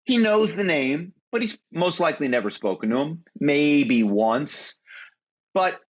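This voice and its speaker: A man's voice: on the high side, expressive, a little slow. A man, a guest in a conversational interview, explaining how phone tricks get people to give away information. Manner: explanatory, uses phone-call anecdotes. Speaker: guest, former corporate spy.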